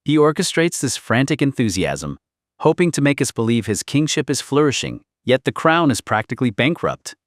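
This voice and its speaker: A man's voice: mid-range voice, big pitch swings, normal speed, dry recording, clean studio sound, pitched above the performer's own voice, natural voice. A man voicing a stony, venomous foreign observer in an audiobook, cold, precise and disdainful.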